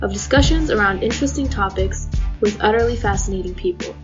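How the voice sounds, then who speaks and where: A woman's voice: mid-range voice, fast. Woman announcing a show intro over hip hop. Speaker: podcast co-host. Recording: lo-fi intro with music. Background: loud hip hop music.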